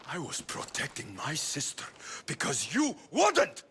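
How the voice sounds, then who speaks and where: An actor playing a bitter, passionate young mercenary son in a dramatic video game, with a gravelly, intense delivery. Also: male voice, fairly high pitch, wildly swooping pitch, a little slow, a little hiss or hum, full character voice. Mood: angry, accusing. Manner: intense, swinging from hushed to shouting.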